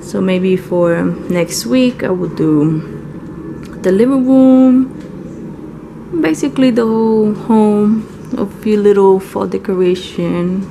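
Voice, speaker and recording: A woman's voice: mid-range voice, steady tone, slow, creaky, background noise. A middle-aged woman chatting casually for a home vlog in a loose, rambling way, correcting herself as she goes. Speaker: home vlogger. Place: at home, indoors, kitchen and nearby room.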